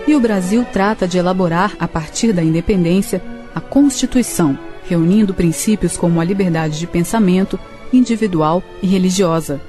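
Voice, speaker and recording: A woman narrating a scripted radio drama in a measured storytelling manner. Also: on the low side, over music.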